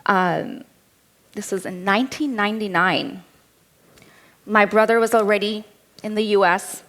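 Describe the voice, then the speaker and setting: A woman's voice: mid-range voice, unhurried, a bit bright. A woman in her thirties with an Indian accent, giving a keynote talk as a personal story told in a candid, lightly humorous way. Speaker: keynote speaker.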